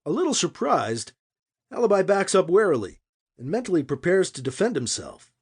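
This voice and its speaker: A man's voice: high-pitched, big pitch swings, normal speed, slightly rough. A man reading fiction aloud as an audiobook narrator, in a dramatic scripted storytelling style.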